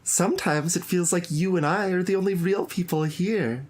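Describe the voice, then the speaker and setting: A man voicing an earnest, clingy teenage schoolgirl from a visual novel, gentle and confiding in a soft, lifted voice. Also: high-pitched, normal speed, pitched above the performer's own voice.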